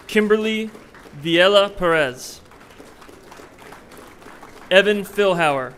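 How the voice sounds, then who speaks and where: A man's voice: on the high side, a bit bright, a little hiss or hum. A man reading out a list of names at a ceremony, one at a time. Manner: slow, deliberate name announcing. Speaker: ceremony name reader.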